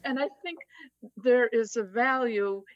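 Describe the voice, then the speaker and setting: A woman's voice: fairly high pitch, unhurried, dry recording, muffled recording. Woman, Boston accent, chatty guest, laughs easily, dry close sound. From United States. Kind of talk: casual conversation.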